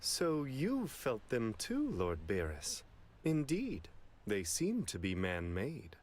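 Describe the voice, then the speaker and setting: A man's voice: medium pitch, huge pitch swings, takes it slowly, darkish tone, exaggerated voice. A man voicing a courtly, unflappable celestial attendant in an anime video game, with a prim, polite, theatrically lilting delivery. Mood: intrigued, composed.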